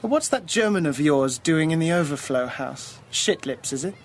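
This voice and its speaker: A man's voice: a little high, lively intonation, normal speed, a little hiss or hum. A male actor playing a snobbish, privileged English schoolboy in a radio drama, haughty, clipped and sneering. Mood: sneering, suspicious.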